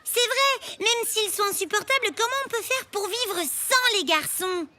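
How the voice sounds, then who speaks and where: A woman voicing a spirited, sociable schoolgirl in a children's cartoon, with an expressive childlike delivery. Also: very high-pitched, measured pace. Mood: earnest. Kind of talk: French animated series dubbing. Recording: music behind the voices.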